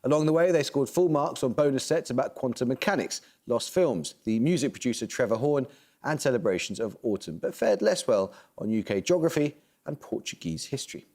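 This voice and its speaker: A man hosts a studio quiz, reading each question in a crisp, measured way. His pitch moves in a lively way from phrase to phrase. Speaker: quiz show host.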